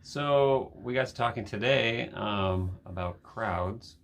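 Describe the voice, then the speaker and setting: A man co-hosting a casual couple chat, low-key and sparing with words, mostly giving short replies. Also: slow, creaky, a bit bright, a little hiss or hum. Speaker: co-host, husband.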